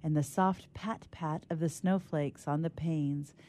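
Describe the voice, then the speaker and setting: A woman narrates a literary story aloud. Her voice is notably low for a woman, with a warm, velvety darkness. She delivers it in a measured, theatrical way. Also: fairly even intonation.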